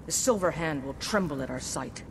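A woman's voice: low voice, lively intonation, normal speed, very noisy. A woman voicing a fierce, vengeful, battle-hardened warrior in a video game, speaking in a tough, resolute way. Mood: grim, determined.

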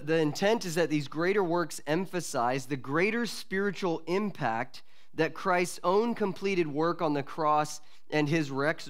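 A man in his forties, a pastor, preaching an expository sermon from a lectern mic in a church, earnest and measured with pauses and restarts. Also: fairly high pitch. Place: church sanctuary at a wooden lectern. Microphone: lectern microphone.

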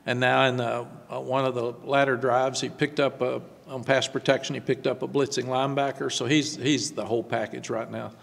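An older man, a head football coach, answering postgame press questions at a desk microphone, halting and repeating words before quick emphatic runs. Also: mid-range voice, little pitch movement, vocal fry, long reverb tail. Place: press room before a sponsor backdrop. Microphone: desk microphone on stand.

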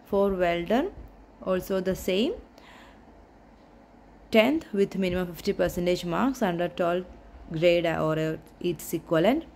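A woman's voice: on the low side, a little slow, pauses often, darkish tone, slight background noise. Woman reading out a job notice. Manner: methodical, reads the notice aloud point by point with small restarts. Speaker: job notification explainer. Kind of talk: reading through a recruitment notice.

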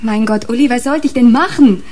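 A woman's voice: a little high, brisk, noisy background, natural voice. An actress playing an earnest, eager young woman in a German radio crime drama. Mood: anxious, pleading.

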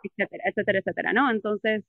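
A woman in her thirties with a Peruvian Spanish accent touched by Cuban influence, talking softly as a guest on a video call, with many fillers and repeats. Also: mid-range voice, fairly even intonation, rapid-fire, dry recording. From Peru. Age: 30s. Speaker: interview guest. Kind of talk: casual video-call conversation. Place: outdoors under a patio umbrella. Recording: dull, dry video-call audio.

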